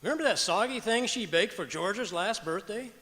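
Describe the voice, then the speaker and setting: A man's voice: high-pitched, animated, normal speed, pushed and bright. A man playing a sarcastic, grumbling husband who delivers sardonic punchlines in an old-time radio comedy on stage. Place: stage of a performing arts auditorium. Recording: echoey auditorium with long reverb.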